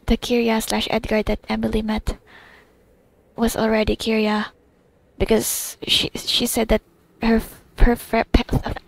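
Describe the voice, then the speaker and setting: A woman's voice: fairly high pitch, vocal fry, no echo, rough recording. A woman giving casual game playthrough commentary, rambling and thinking aloud in fragments, close to the mic.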